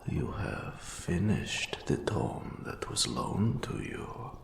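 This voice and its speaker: Ancient wraith mentor, eerie, erudite, rasping half-whisper, crawling pace with long pauses, roomy echo, fantasy audio drama. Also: male voice, low voice, expressive, a little hiss or hum, heavily acted. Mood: curious, measured. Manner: slow, archaic formal phrasing with long pauses. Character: old undead wraith mentor, eerie, courtly, erudite, ominous.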